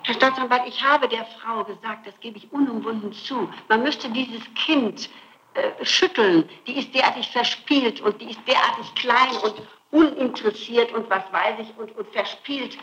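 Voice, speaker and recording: A woman's voice: a little high, normal speed, a bit bright, muffled recording, a little hiss or hum. A middle-aged woman answering interrogation questions, insistent and defensive, repeating her denials, on an old 1960s archival tape. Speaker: suspect being interrogated.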